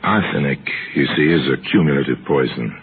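A man narrating an old-time radio mystery as host, measured and storytelling in his delivery. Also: very deep voice, lively intonation, crowd noise. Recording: tinny old radio broadcast.

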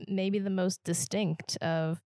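A woman from Kansas with a Midwestern American accent, co-hosting a podcast in a reflective, halting way that often restarts phrases. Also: lowish voice, steady tone, warm tone, no room sound, clean studio sound. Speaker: podcast co-host. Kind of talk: casual podcast conversation.

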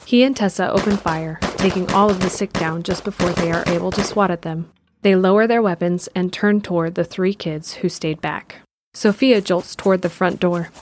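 A woman's voice: on the low side, moderate pace, a little hiss or hum. Woman narrating an audio drama. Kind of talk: scripted narration.

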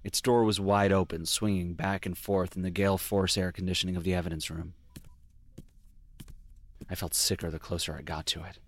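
A man's voice: deep voice, level tone, brisk, slight background noise, close to an everyday voice. A man playing a brooding police detective, narrating a noir audio drama in a wry, confessional way. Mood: brooding, regretful. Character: brooding male police detective recalling his past mistakes. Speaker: lead character and narrator.

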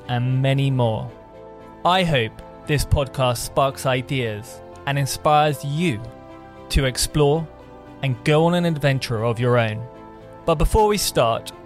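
A man hosting a podcast, giving a measured, welcoming presenter delivery in interviews and a scripted intro. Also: medium pitch. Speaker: podcast host.

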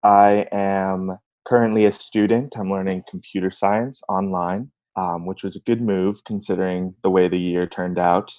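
A man's voice: low voice, flat delivery, normal speed, hardly any pauses, darkish tone. A man in his late twenties from Virginia, a podcast guest who talks in a rambling, hesitant, self-mocking way. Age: late 20s. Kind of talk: casual podcast chat.

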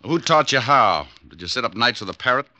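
A man playing a suave, wisecracking hard-boiled private detective in radio drama style, with a sardonic, clipped, quick-witted delivery. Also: mid-range voice, animated, bright, forceful tone, no room sound. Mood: sardonic, brisk. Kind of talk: 1940s-50s radio drama acting.

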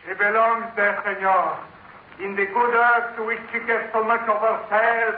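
Man acting high-pitched, anguished old Spanish-accented farmer. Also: little pitch movement, normal speed, natural voice. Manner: pleading, impassioned, fatalistic. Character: anguished elderly Venezuelan farmer, devoted widower, desperate saboteur. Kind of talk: 1950s radio drama acting. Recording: narrow-band, echoing, noisy old radio recording.

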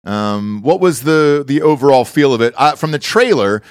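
A man's voice: mid-range voice, big pitch swings, normal speed, smooth, no room sound, clean studio sound. A man hosting a podcast in casual, blunt, profane chat, asking plenty of questions. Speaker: podcast host.

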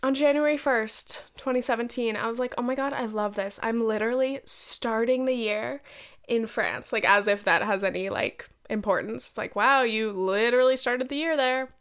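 Young American woman, higher-pitched, clear tone, stuffy nasal head cold, slangy self-mocking podcast chat. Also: normal speed, fairly bright tone. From United States. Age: 20s. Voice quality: nasal, congested. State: getting over a head cold. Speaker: podcast host. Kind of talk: casual chatty solo podcast storytelling.

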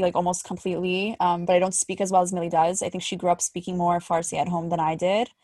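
A woman's voice: fairly low pitch, a little mellow, no echo. A woman from New York with an American accent, chatting casually as a podcast co-host. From Great Neck, New York.

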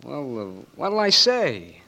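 A cocky blinded young Marine. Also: male voice, fairly high pitch, very animated, slow, vocal fry, pushed and bright, heavily acted. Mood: breezy. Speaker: patient. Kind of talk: 1940s radio acting.

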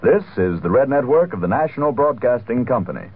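A man's voice: mid-range voice, very animated, a little fast, a little hiss or hum. A man reading a commercial as a 1940s radio announcer. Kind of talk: 1940s radio advertising read. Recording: thin, narrow old radio sound.

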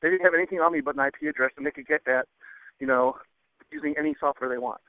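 Tinny phone call, higher-voiced male caller. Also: rapid-fire, dry recording. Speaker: podcast listener calling in. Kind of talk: casual cautionary account in a voicemail.

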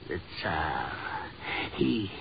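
An actor playing a muddled, embittered, crazed prisoner, halting and repeating himself in 1940s radio horror style. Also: male voice, low-pitched, big pitch swings, creaky, exaggerated voice. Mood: embittered, agitated. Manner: halting, dazed, repetitive. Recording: dull, hissy 1940s radio recording.

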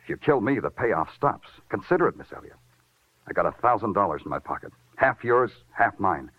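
An American actor playing a hard-boiled New York police detective in a 1950s radio crime drama, terse, wry and weary. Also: male voice, on the low side, big pitch swings, a little fast, husky, no room sound. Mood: cool, pressing. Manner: terse, sardonic, lyrical when narrating. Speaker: lead actor and narrator. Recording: old narrow-band radio recording, faint music.

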